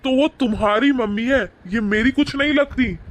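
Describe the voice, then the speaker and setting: A man voicing an angry young husband in an animated moral story, rude, accusing and indignant. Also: extremely high pitch, normal speed, a little hiss or hum. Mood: contemptuous. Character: angry young husband scolding wife and mother-in-law.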